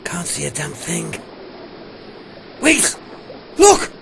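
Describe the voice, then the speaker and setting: A man playing an urgent, excited sailor on lookout in a radio play, exclaiming over a noisy background. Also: fairly high pitch, extremely slow, vocal fry, exaggerated voice. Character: excited lookout sailor scanning the sea for survivors.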